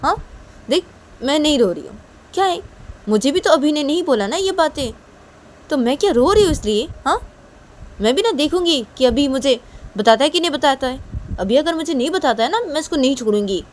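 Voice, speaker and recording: A woman's voice: sits high, animated, brisk, slight background noise, pitched above the performer's own voice. A woman voicing an indignant, bossy elder sister in a story, scolding insistently and repetitively. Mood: indignant, defensive.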